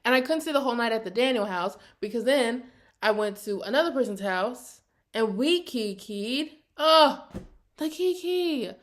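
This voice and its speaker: High-voiced young American woman, chatty and sassy. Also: moderate pace. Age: early 20s. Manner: animated, sassy, slangy storytelling. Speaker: vlogger. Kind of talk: casual, chatty personal vlog.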